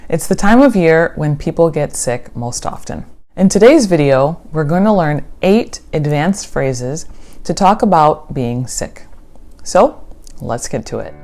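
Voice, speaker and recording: Low-voiced American woman teaching English. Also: expressive, darkish tone. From United States. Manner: slow, clear teaching delivery with example sentences. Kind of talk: scripted English lesson.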